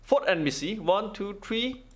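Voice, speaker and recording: A man giving casual game walkthrough commentary, thinking aloud in halting, non-native English. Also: high voice, lively intonation, a bit bright. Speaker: gaming video host.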